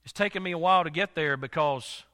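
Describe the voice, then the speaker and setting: A male pastor preaching a sermon while congested, his voice nearly gone, swinging from soft to loud with slow, emphatic delivery. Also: fairly high pitch, in a large hall.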